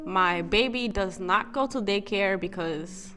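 A woman in her twenties, raised in West Africa, co-hosting a podcast and reading a measured, slightly scripted self-introduction. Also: medium pitch, bright, forceful tone, soft background music. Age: 20s. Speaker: podcast co-host.

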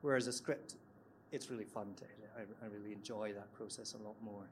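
A man with a Scottish accent, speaking as a screenwriter on a live panel discussion, relaxed and a little hesitant. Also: on the low side, quick pace, background noise. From Scotland. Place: event venue, live audience.

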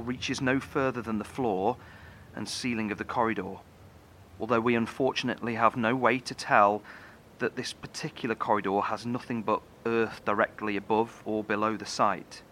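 A man's voice: lowish voice, fairly even intonation, fairly bright tone, slight background noise, close to an everyday voice. Actor as grave, tense male bunker engineer. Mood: grave, analytical. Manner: halting, solemn, careful explaining. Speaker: lead engineer. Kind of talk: horror audio drama dialogue.

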